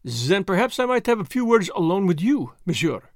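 Guy doing a cheerful fussy little detective for an audiobook, theatrical and polite, pitch jumps all over, dry sound. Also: sing-song, normal speed, no echo. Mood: regretful, polite. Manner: theatrical, formally polite, precise. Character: dapper little Belgian detective, cheerful, courteous, self-assured man.

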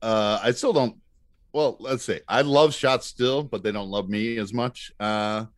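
A man's voice: lowish voice, a little slow, no echo, studio quality. A middle-aged man telling stories as a podcast guest in a casual, easygoing, agreeable way. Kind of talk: casual anecdotal chat.

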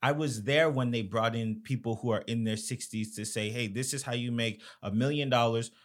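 A man's voice: medium pitch, fairly even intonation, fairly quick. Emphatic man telling a school story. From Florida. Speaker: podcast co-host. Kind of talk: casual discussion.

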